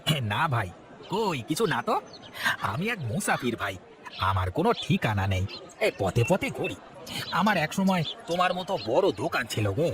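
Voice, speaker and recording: A man's voice: fairly high pitch, big pitch swings, moderate pace. A man voicing a sly, scheming village thief in a cartoon, theatrical and wheedling. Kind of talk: cartoon voice acting. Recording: clear ambient noise behind voice.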